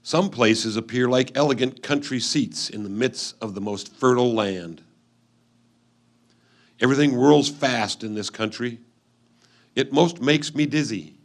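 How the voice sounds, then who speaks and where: A man's voice: mid-range voice, close to an everyday voice. Man reading as an observant 1850s settler husband. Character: 1850s husband newly arrived out West, keen and observant. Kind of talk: dramatic reading of an old letter, reader's theatre.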